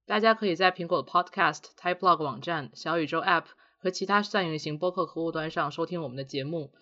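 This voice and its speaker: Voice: medium pitch, a little fast, pushed and bright, muffled recording. A podcast co-host chatting casually in a reflective, self-deprecating way. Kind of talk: casual two-person podcast chat.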